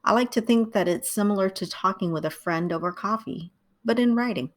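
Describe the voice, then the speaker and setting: A middle-aged woman, born in Vietnam and raised in the United States, calmly narrating a scripted writing tutorial. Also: mid-range voice, brisk.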